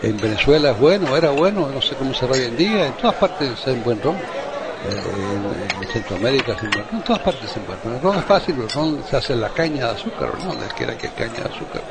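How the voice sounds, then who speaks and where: A man's voice: medium pitch, very animated, fast. Cuban man chatting amid crowd noise. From Cuba. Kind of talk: casual chat over drinks. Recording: busy crowd chatter around the voice.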